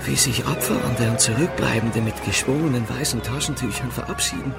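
An actor playing a sentimental, lovesick painter in a radio drama, speaking in an earnest, flowery way. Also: male voice, medium pitch, brisk, vocal fry, over loud music, natural voice. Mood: wistful.

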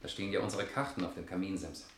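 An actor playing a sardonic, smug young defence lawyer in a 1960s German radio play, speaking in a dry, ironic way. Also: male voice, deep voice, fast talker, husky. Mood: matter-of-fact. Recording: noisy 1960s radio recording with clicks.

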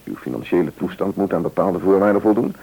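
An actor playing a sober, cautious official in a radio play, explaining formally and in measured words with a Netherlands Dutch accent. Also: male voice, sits low, animated, creaky, dark tone, slightly rough, heavily acted, rough recording. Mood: businesslike.

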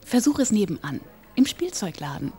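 A woman playing an unhurried, mildly puzzled shop saleswoman in a children's radio play. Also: mid-range voice, very animated, mellow, breathy edge.

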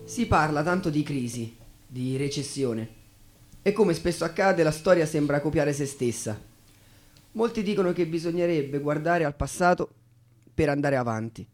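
A man giving scripted theatrical narration as a stage storyteller, with deliberate phrasing. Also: a little high, animated, soft background music.

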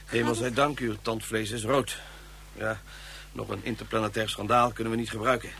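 An actor playing a polite, formal police inspector from Mars in a radio play, hesitating with small fillers. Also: male voice, fairly low pitch, little pitch movement, normal speed, gravelly, fairly bright tone, slight background noise. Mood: courteous. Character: polite Martian police inspector, middle-aged man. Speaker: inspector and tour guide.

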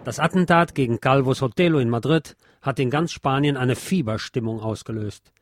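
A man's voice: mid-range voice, moderate pace, soft background music. A man reading a scripted historical narration aloud as a narrator.

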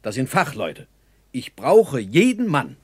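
An actor playing a wry, reluctant army engineer officer in a 1960s German radio play, with a sardonic, defensive manner. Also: male voice, medium pitch, sing-song, takes it slowly, husky, no echo, full character voice. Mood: reluctant, firm. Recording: dry early 1960s radio studio.

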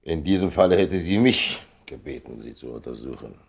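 Important gentleman in his fifties, low-pitched, lively intonation, urbane, weary, dutiful, radio play. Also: moderate pace, creaky, heavily acted. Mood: wry. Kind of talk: German radio play acting.

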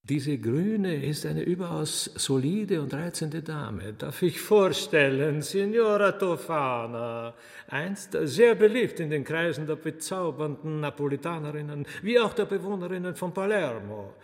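A man's voice: fairly high pitch, expressive, measured pace. A man with an Austrian accent playing a courtly, sardonic master of ceremonies in a radio play, speaking ornately with mock-polite relish. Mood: gleeful gossip. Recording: long hall reverb, music underneath.

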